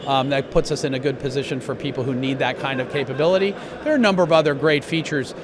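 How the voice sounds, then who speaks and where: A man giving an interview as a company executive, explaining products in a measured way with pauses mid-phrase. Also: mid-range voice, fairly even intonation, a crowd in the background. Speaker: company president being interviewed.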